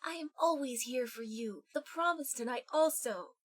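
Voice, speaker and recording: A woman voicing a sweet, caring young cartoon fox boy who sounds gentle and earnest in a comic reading. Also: sits high, normal speed, breathy edge. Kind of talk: parody fan-fiction character reading.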